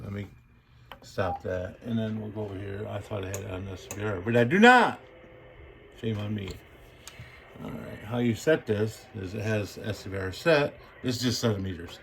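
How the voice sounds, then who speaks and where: A man with a gravelly, fairly low voice gives a casual hands-on explanation. He talks in a folksy, rambling, colloquial way, with faint noise behind him. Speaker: radio hobbyist demonstrating equipment.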